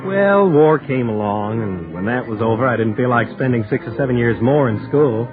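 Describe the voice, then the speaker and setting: A man's voice: mid-range voice, lively intonation, moderate pace, phone-quality sound, over music, lightly acted. An actor playing a mild, friendly office worker in his thirties, polite and easygoing, in 1940s radio style. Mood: easygoing, reminiscing. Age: 30s. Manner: polite, easygoing, a little bashful. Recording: thin 1940s radio recording.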